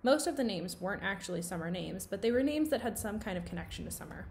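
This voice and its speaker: A woman reading a children's novel aloud in an expressive storytelling style. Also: mid-range voice, fast, slight background noise.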